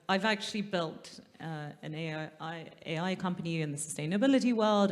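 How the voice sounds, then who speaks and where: Woman, panel moderator, chatty, lower-pitched, long hall reverb. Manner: lively, chatty, rephrases for the audience. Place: large conference hall, stage.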